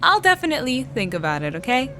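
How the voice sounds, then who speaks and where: An encouraging middle-aged mother who mixes in Spanish. Her voice sits a little high and moves lively up and down. Clear street noise runs behind her at an outdoor stand. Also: fast talker. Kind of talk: audio drama acting.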